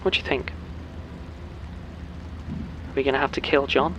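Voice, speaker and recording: A man playing a tender, steadfast partner in an audio drama, speaking in an earnest, hesitant and gentle way. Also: mid-range voice, steady tone, moderate pace, background noise, lightly acted. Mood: troubled, hurt. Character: tender, steadfast man pleading with his beloved.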